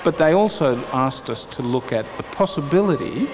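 A man's voice: mid-range voice, animated, moderate pace, background noise. A middle-aged man, giving an account in an interview as an official. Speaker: public works official.

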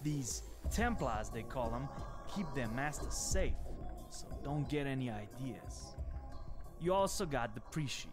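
An actor playing a cocky, streetwise male vampire gangster in a video game, blunt, slangy and sardonic. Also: mid-range voice. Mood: cynical, assured. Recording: music behind the voice.

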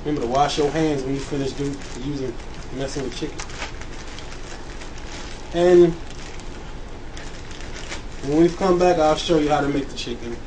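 A man's voice: a little high, normal speed, long pauses. A man hosting a casual home cooking demonstration in a kitchen, talking informally to viewers. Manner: informal, addresses viewers as y'all. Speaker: home cooking show host. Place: home kitchen beside sizzling fryer. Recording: distant mic, loud frying kitchen noise. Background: frying food sizzle.

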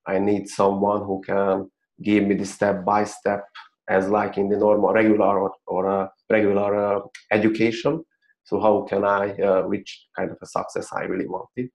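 A man in his thirties from Hungary with a Hungarian accent, telling his story as a guest in an earnest, halting way with many fillers, heard over a video call in a small room. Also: fairly low pitch, level tone, gravelly, darkish tone. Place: small plain-walled room. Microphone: video call webcam. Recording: dull lo-fi video call audio.

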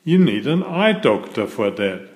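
Man as a firm bear doctor, expressive pitch. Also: unhurried. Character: brisk, helpful male bear doctor in a storybook.

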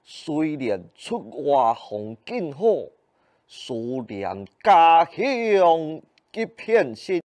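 Stylized stage puppet, theatrical verse, extremely slow, highly melodic delivery. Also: male voice, sing-song, a little mellow, exaggerated voice.

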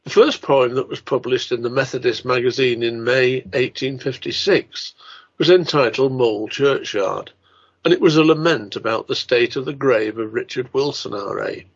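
A man's voice: medium pitch, moderate pace, slightly rough. Older man, formal delivery, dull lo-fi sound. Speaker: writer and lecturer. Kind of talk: scripted talk and poetry recital.

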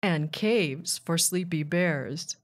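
A woman reading a rhyming children's book aloud with measured, deliberate phrasing. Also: fairly low pitch. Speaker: children's author and narrator.

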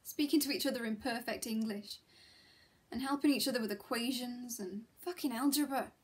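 A woman acting a dramatic monologue as a miserable, disillusioned schoolteacher, confiding and emotionally intense, with halting delivery. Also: on the high side, lightly acted. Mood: upset, indignant. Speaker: actor performing a monologue.

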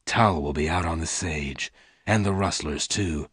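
A gruff, gentle gunman from a western storybook, acted out by a male reader. The voice is very deep and quiet, with a deliberate, solemn delivery. The sound is dry and close. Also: no echo, exaggerated voice. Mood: grim. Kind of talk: dramatised audiobook dialogue.

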